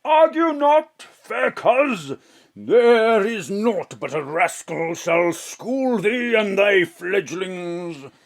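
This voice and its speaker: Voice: mid-range voice, very animated, extremely slow, creaky, heavily acted. An actor playing a pompous stage tragedian, declaiming in an overwrought, droning mock Jacobean manner. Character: pompous, ranting old-school tragedian on stage.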